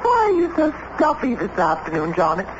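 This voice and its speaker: An actress playing a composed, persuasive diplomat's wife in an old-time radio drama, refined and gently chiding. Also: female voice, mid-range voice, huge pitch swings, brisk. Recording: dull, noisy vintage radio recording.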